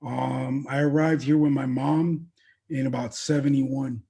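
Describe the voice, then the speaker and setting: Casting: male interview guest, fifties, dark husky timbre, measured halting delivery in short phrases. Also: medium pitch, steady tone. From born in Colombia, raised in the US. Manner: halting, pausing often between short phrases. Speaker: interview guest, veteran event producer. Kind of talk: interview answers about own background.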